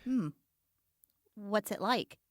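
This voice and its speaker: A woman playing a careful wasteland traveler in an audio drama, expressive and questioning as she haggles over supplies. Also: medium pitch, drawn-out words, no room sound, studio quality. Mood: curious, wary. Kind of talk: post-apocalyptic audio drama acting.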